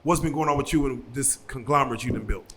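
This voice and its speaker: A man's voice: medium pitch, fast talker, a bit bright. A man hosting a casual interview, asking rambling questions full of fillers and slang. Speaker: interview host.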